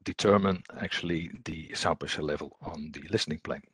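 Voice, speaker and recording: A man giving an explanatory technical presentation as a webinar trainer, speaking in a hesitant, halting way. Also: very deep voice, fairly even intonation, fairly bright tone, husky, no echo.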